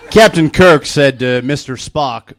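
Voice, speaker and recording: A man giving a comedic dramatic reading of fan fiction with halting, over-formal mock gravity. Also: mid-range voice. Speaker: comedic reader on a stage show.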